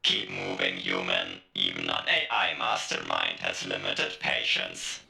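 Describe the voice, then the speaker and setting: A man voicing a menacing AI mastermind in a video game, slow, taunting and condescending, with a deep gravelly growl. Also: wildly swooping pitch, pushed and bright, exaggerated voice. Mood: impatient menace. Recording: echoey game audio over music.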